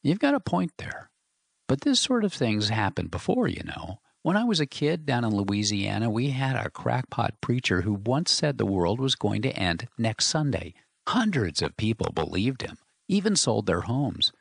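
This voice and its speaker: A man voicing a gruff, skeptical homesick engineer from Louisiana. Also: medium pitch, sing-song, normal speed, no echo, studio quality, pitched above the performer's own voice, heavily acted.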